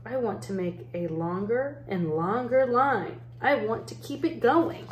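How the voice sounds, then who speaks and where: A woman reading a children's picture book aloud as an educator, in measured, deliberate phrases with pauses. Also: on the high side, lively intonation. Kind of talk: storybook read-aloud narration. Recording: roomy echo, faint background noise.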